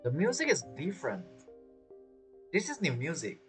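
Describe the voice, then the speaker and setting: A woman's voice: deep voice, wildly swooping pitch, moderate pace. A woman giving casual, reactive commentary as she streams a video game. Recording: game music behind the voice.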